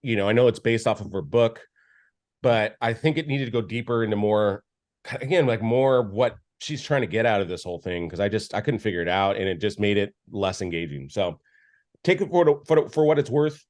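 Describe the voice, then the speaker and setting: A man with a fairly low voice chats casually on a podcast, reviewing films. He rambles, trips over his own restarts and fills the gaps with filler words. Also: fairly quick, a little mellow. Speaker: podcast co-host, film reviewer. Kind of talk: casual chat.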